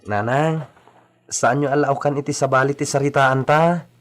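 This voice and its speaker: A man's voice: medium pitch, steady tone, moderate pace, natural voice. A man acting as an earnest, devoted young graduate coaxing a parent in an Ilocano radio drama. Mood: pleading.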